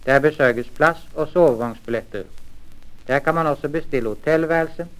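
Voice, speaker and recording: A man reading a scripted language lesson formally, with measured, careful enunciation on a vintage record. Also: on the high side, clean tone, rough recording. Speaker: language course reader.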